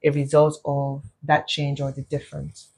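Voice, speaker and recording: Man, host, fairly high voice, husky, probing questions. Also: completely flat delivery, normal speed, low-quality recording. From Nigeria. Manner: asks probing questions, frequent soft listening sounds. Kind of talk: interview.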